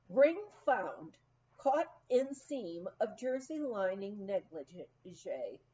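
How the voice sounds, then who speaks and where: A woman voicing a businesslike telegraph operator in a memoir, announcing messages in a deliberate manner. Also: a little high, warm tone, lo-fi sound. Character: businesslike female telegraph operator reading out wires.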